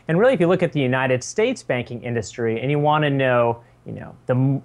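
A man joins a podcast by phone and explains things in a relaxed, conversational way. He keeps checking in with quick tag questions. His pitch sits in a middle range. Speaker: podcast co-host. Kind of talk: casual podcast chat.

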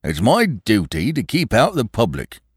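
A grumpy, harassed police inspector, as a male reader puts him on. He speaks gruffly and morosely in a lowish voice, with lively rises in pitch. The sound is dry and close. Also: normal speed, no echo, heavily acted. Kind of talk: audiobook reading.

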